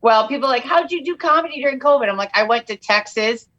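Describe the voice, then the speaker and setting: A high-pitched woman comedian tells stories on a podcast. She talks casually and leaps up in pitch whenever she acts out what someone else said. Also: quick pace, highs cut off. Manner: animated, jumps pitch for quoted voices. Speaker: comedian guest. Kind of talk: casual podcast chat, comic storytelling.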